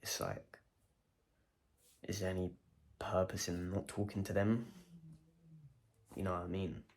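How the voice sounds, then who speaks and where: A man's voice: low voice, flat delivery, measured pace, vocal fry. A man vlogging a personal video diary, talking in a reflective, rambling way and questioning himself as he goes.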